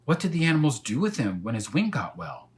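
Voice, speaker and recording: An eager young storybook boy voiced by a man reading aloud, full of questions. He sounds curious and wide-eyed, with a very animated pitch. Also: fairly quick, close to an everyday voice. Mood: excited. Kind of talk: children's storybook read-aloud.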